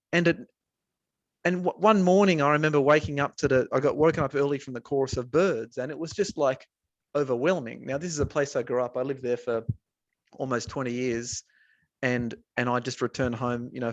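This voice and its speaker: A man from Australia talking casually in a webinar, pausing often with fillers and restarts, speaking from an office building. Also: mid-range voice, steady tone, no echo, lo-fi sound. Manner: hesitant, many restarts and fillers. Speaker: researcher.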